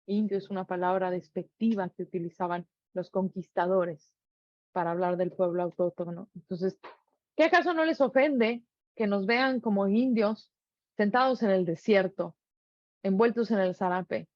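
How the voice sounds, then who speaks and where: A woman's voice: medium pitch, moderate pace, dry recording, dull audio. Earnest middle-aged Mexican woman, dry sound. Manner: earnest, poses many rhetorical questions. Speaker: speaker sharing reflections. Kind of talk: reflective spoken monologue.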